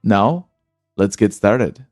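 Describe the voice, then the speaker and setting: A man's voice: fairly low pitch, animated, very slow, a little mellow, no room sound, studio quality, soft background music. A man hosting his own podcast, talking earnestly and thinking aloud with small restarts and fillers. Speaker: podcast host. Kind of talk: solo podcast monologue.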